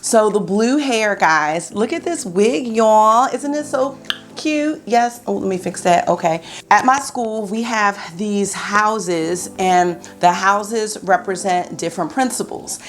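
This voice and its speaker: A woman's voice: medium pitch, takes it slowly. Chatty woman vlogging from her bedroom. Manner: chatty, upbeat, addresses viewers as y'all. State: slightly scratchy early-morning voice. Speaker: beauty vlogger. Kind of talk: casual beauty vlog talk to camera. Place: bedroom at home, indoors.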